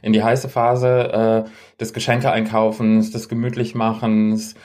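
A man's voice: lowish voice, little pitch movement, a little slow, a little mellow. A man co-hosting a podcast, bantering casually in a teasing, playfully ironic way. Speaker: podcast co-host. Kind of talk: casual banter between friends.

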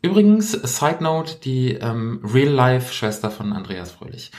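A man's voice: medium pitch, big pitch swings, measured pace, darkish tone. A man co-hosting a podcast, chatting casually and playfully quizzing his guests. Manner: playful, teasing quizmaster. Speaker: podcast co-host.

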